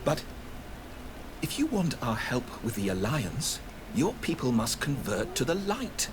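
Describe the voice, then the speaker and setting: An actor playing a stern, haughty missionary priest in a fantasy game, formal and menacing. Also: male voice, mid-range voice, very animated, measured pace, noisy background. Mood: insistent. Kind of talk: scripted game dialogue acting.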